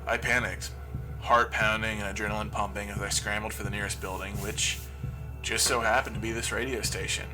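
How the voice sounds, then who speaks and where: A man's voice: lowish voice, level tone, a little fast, over music, natural voice, rough recording. A man playing an injured, lonely survivor of a zombie apocalypse, confiding wryly and with swearing over a makeshift radio broadcast in an audio drama monologue. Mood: tense recounting.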